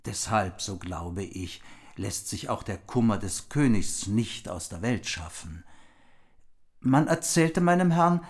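Casting: a loyal royal envoy, grave courtly delivery. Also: male voice, lowish voice, animated, takes it slowly. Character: loyal noble envoy of a king, dignified.

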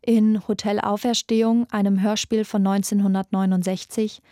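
A woman's voice: mid-range voice, flat delivery, moderate pace, dark tone, no room sound. Woman narrator, dry studio sound. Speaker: podcast host and narrator. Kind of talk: scripted documentary narration.

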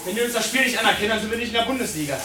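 An actor playing a shaken, irritable young footballer, talking colloquially in a TV drama. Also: male voice, high voice, lively intonation, racing, pushed and bright, a little hiss or hum. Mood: irritated. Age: young adult. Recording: echoey changing room, distant, music underneath.

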